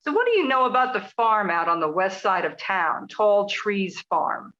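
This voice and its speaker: A woman playing an inquisitive, persistent journalist in a radio play, probing and brisk. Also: mid-range voice, very animated, a bit bright, highs cut off. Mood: curious.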